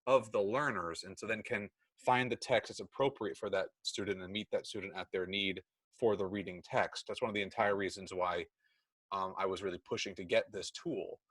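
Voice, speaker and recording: A man's voice: deep voice, fairly even intonation, a little fast, fairly bright tone, no echo. A middle-aged American man chatting in a work meeting on a video call, explaining things and often correcting himself. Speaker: meeting leader, school technology administrator. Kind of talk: casual work meeting chat.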